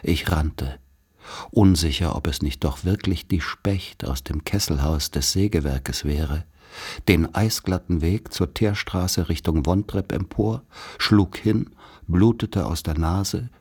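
A man's voice: low voice, slow, darkish tone, slightly rough. A man narrating literary, scripted childhood memories for a radio play.

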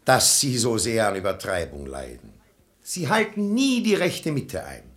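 An actor playing a sensible, admonishing brother-in-law who urges moderation, speaking verse in a radio play. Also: male voice, lowish voice, huge pitch swings, fairly bright tone, heavily acted. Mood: reproving. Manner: reasoning, admonishing, measured.

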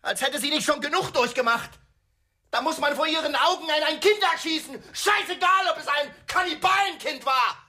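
An actor playing an indignant, sarcastic husband who confronts scientists in a radio drama. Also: male voice, very high-pitched, moderate pace, bright, forceful tone, full character voice. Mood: outraged. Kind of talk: German radio drama acting. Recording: noisy, with ambient sound effects.

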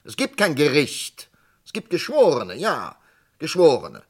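A man acting as an insistent, indignant psychiatrist in a German radio crime drama, speaking emphatically with pointed pauses. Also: on the high side, wildly swooping pitch, exaggerated voice. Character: insistent, indignant male psychiatrist fighting to postpone an execution.

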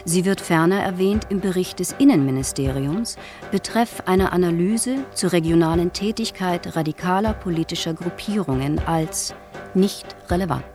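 An actress playing an impersonal narrator who reads official files in a radio drama, formal, measured and matter-of-fact. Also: female voice, on the low side, music in the background, lightly acted. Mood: detached, official.

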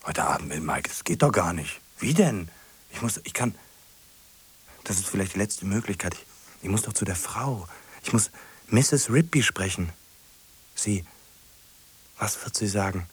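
An actor playing an anxious, guilt-ridden man in a radio drama, husky and hesitant, speaking in fragments. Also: sits low, lively intonation, measured pace, pauses often, exaggerated voice. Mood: anxious, brooding. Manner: hesitant, fragmented, muttering to himself.

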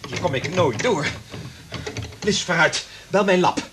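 An actor playing a conscientious, commanding lab scientist with urgent delivery in a radio drama. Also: male voice, high-pitched, a little slow, noisy background. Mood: alarmed. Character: urgent, conscientious male laboratory scientist taking charge.

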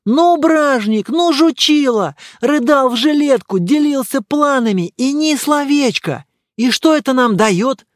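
Audiobook role for a male narrator: nosy, excitable male friend. High, animated, emphatic and theatrically indignant. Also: moderate pace, no echo, clean studio sound. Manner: exclamatory, emphatic, swooping.

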